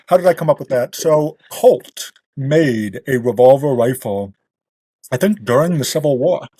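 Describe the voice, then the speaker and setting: A man's voice: medium pitch, expressive, normal speed, warm tone, husky, dry recording. A man talking as a guest author, explaining details step by step in an informal podcast chat.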